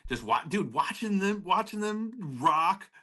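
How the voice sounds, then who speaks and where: A man's voice: sits high, sing-song, measured pace, sharp tone. A man co-hosting a casual music podcast, talking in a rambling, slangy way and calling his partner "baby". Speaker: podcast co-host. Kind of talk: casual chat.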